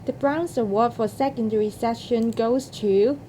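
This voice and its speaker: A woman's voice: on the high side, moderate pace, background noise. A woman presenting awards from a script as ceremony host.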